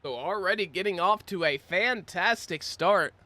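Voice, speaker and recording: A man doing play-by-play commentary for a simulated racing broadcast, slightly stuffy-nosed from a cold, with his pitch climbing during close battles. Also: normal speed, pushed and bright. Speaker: race commentator.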